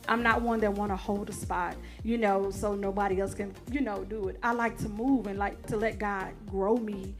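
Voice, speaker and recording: A woman co-hosting a casual church chat, lively, teasing and emphatic. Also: mid-range voice, moderate pace, hardly any pauses, soft background music. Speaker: church co-host.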